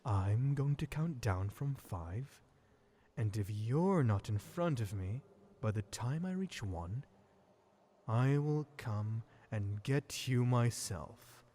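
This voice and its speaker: Man playing a slow, dark-voiced, taunting vampire. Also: mid-range voice, expressive. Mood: menacing, coaxing. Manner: taunting, condescending, slow and deliberate with soft chuckles. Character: sadistic, possessive male vampire toying with human captive. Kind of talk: ASMR roleplay acting.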